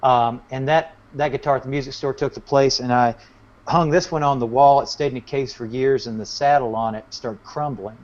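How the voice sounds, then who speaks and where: A man's voice: mid-range voice, fairly even intonation, normal speed. A man chatting casually as a musician guest in an interview, telling anecdotes in a rambling way. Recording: dull, lo-fi audio.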